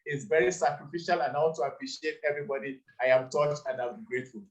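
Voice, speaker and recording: A man's voice: medium pitch, fairly even intonation, quick pace, muffled recording. A man from Nigeria, founder of a campaign, giving an earnest closing speech on a video call with some hesitations. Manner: earnest, grateful, hesitant with fillers.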